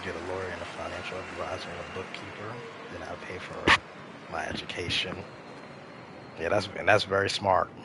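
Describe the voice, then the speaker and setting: Man, 30s, deep voice, rambling casual chat, noisy mic with clicks. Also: little pitch movement, moderate pace. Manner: rambling, repeats himself. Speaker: gaming streamer. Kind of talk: casual chat while playing a game.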